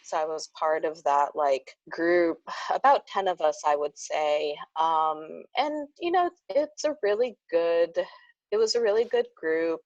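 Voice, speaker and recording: Woman, interview guest, casual, lots of fillers, low pitch, dry sound. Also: expressive, unhurried, no echo, muffled recording. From United States. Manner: chatty, many fillers and restarts, laughs often. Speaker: interview guest, speech-language pathologist.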